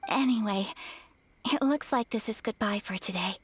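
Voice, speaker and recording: A woman playing a gentle, refined young noblewoman in a video game, speaking softly with earnest, poised affection. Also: mid-range voice, moderate pace, slightly rough, close to an everyday voice. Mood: soft, affectionate. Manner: soft, poised, heartfelt delivery. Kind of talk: video game voice acting, sincere and tender.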